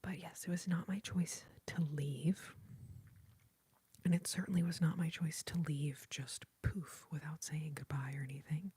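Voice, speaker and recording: A woman whispers very close to the microphone in an airy, almost voiceless hush. Her tone is mellow and soft-edged, and she speaks in a heartfelt, grateful way. The sound is dry, with no room echo. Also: deep voice, fairly even intonation, measured pace, slightly rough. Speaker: ASMR YouTuber hosting a livestream. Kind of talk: whispered ASMR livestream chat.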